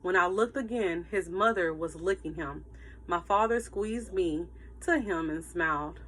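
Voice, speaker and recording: A woman teacher reading a story aloud to children in an animated, emphatic way, with asides to her listeners. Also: fairly low pitch, a little slow, slight background noise, low-quality recording.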